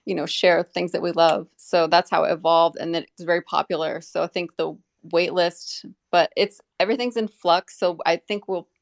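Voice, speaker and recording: Creaky, lowish-voiced woman talking casually. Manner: loose, self-interrupting. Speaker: community member being interviewed. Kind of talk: casual interview answers.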